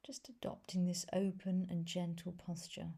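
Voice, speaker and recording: A woman guides a meditation in a fairly low, dark and mellow voice. Her tone is husky and breathy, and she speaks softly and gently. She leaves long pauses between short phrases. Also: level tone. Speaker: meditation teacher. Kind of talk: guided body scan meditation.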